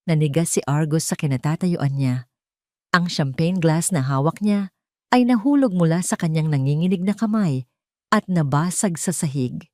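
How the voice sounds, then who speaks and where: A Filipino woman narrates a dramatic audio story from a script. Her voice sits a little low, and the recording is very dry, with no room around it. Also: a little mellow, dry recording.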